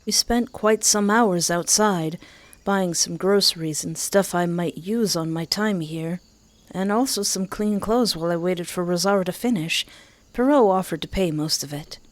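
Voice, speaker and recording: A woman reading a visual novel aloud, gentle and reflective as narrator and protagonist. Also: mid-range voice, no room sound. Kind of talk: reading a game's story aloud.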